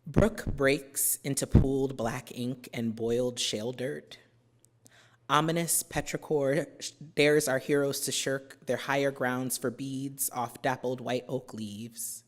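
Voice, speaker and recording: An American woman from New Jersey giving a poetry reading, chatting through her introductions with many fillers and reading the verse slowly and with care. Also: extremely low pitch, fairly even intonation. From New Jersey, USA. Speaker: poet. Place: university symposium venue.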